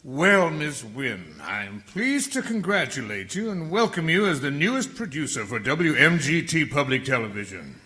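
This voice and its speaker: A man's voice: fairly high pitch, very animated, normal speed, few pauses, sharp tone. A man playing a genial, enormous station boss with a lilting Southern accent.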